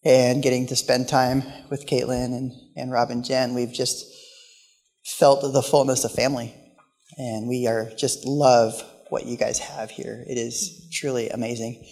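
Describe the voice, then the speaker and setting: Man preaching with a quiet, gravelly voice. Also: medium pitch, level tone, unhurried. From Portland, Oregon. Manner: storytelling, humorous asides. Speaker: guest pastor. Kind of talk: sermon. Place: church sanctuary. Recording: live church hall with long reverb.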